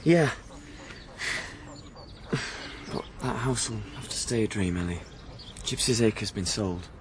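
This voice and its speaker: A man's voice: lowish voice, normal speed, slight background noise, lightly acted. A young man acting a blunt, romantic working-class drifter in a radio play, with colloquial, plain-spoken delivery. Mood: incredulous. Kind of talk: radio play acting and first-person narration.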